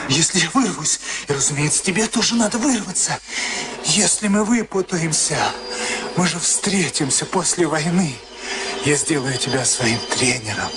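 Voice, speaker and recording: An actor in a Soviet radio drama playing a grimly vengeful boxer, a former prisoner, speaking haltingly and repeating phrases. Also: male voice, on the low side, very noisy, natural voice. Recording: archive radio play with loud music.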